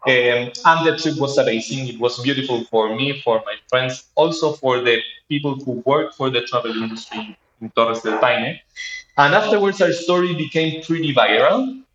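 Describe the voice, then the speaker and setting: A man in his thirties from Chile with a Chilean Spanish accent, telling his personal story as an interview guest in a halting manner. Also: mid-range voice, a bit bright. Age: 30s. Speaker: interview guest, startup co-founder. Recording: roomy, dull lo-fi sound.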